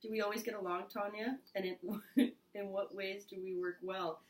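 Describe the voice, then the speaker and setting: A woman's voice: mid-range voice, steady tone, moderate pace, reverberant, far from the mic, a little hiss or hum. A young woman chatting in a laid-back, teasing way on a casual audition tape at home, often trailing off mid-sentence. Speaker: contestant. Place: small apartment at home.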